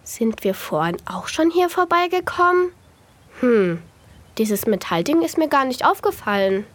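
A woman's voice: sits high, very animated, a little slow, full character voice. A woman playing a sulky young girl who whines and pleads in a children's radio play. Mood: puzzled. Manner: whiny, pleading, dramatic.

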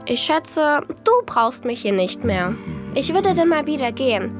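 Radio play: earnest young girl, childlike, high-pitched, clear music bed behind her. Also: expressive, measured pace. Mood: tender, reassuring. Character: young girl Sophie, earnest, bright and wondering. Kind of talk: scripted audio drama acting.

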